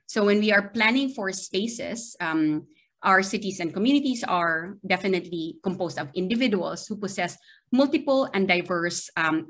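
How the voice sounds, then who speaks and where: A woman's voice: lowish voice, a bit bright. A middle-aged woman with a Filipino accent giving an online academic lecture, hesitant and often checking in with a questioning tag. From Philippines. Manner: hesitant, checking in with frequent "right?". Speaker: university lecturer giving a seminar. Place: small video-call window, remote presentation.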